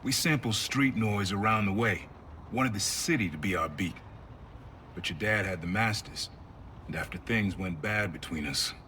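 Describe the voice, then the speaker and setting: Casting: easygoing, protective uncle for a game, middle-aged, forceful bright tone, faint background noise. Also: male voice, fairly low pitch, normal speed. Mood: reflective, encouraging. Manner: direct, casual, avuncular. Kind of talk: video game cutscene acting.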